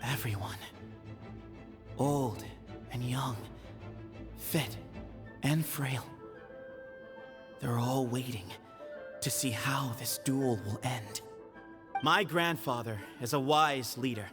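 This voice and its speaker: A man voicing a proud young leader of a secretive desert temple in a video game, measured, earnest and declamatory. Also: medium pitch, close to an everyday voice. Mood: somber, earnest. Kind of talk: anime video game voice acting. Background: game music.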